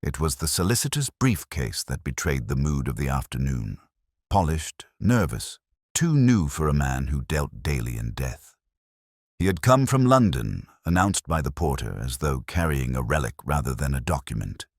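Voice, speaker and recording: A man reading scripted first-person narration of a detective mystery in a measured, brooding, literary manner. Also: extremely low pitch, big pitch swings, no room sound, clean studio sound. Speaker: audio drama narrator.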